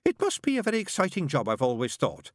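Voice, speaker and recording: A male audiobook reader voicing a flustered, chatty woman who is a nun and nurse, talking in a gushing, hurried way. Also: on the high side, huge pitch swings, no echo, studio quality, voice pushed up. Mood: nervous chatter.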